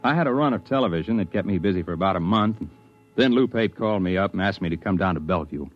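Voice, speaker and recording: A male actor playing a thoughtful investigator of about forty, with measured, conversational delivery in 1950s radio drama. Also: low-pitched, expressive, soft background music. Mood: reflective, measured. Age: 40s. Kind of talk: 1950s radio drama, first-person noir narration. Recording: muffled vintage radio recording.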